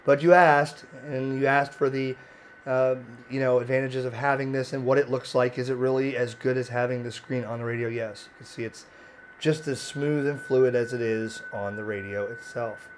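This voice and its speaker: A man with a slightly hoarse voice giving a plain-spoken, informal product demonstration as a video host, recorded indoors on a handheld camera. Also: medium pitch, fairly even intonation, moderate pace, slight background noise. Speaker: amateur radio video host. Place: indoor home radio room. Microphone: handheld camera mic.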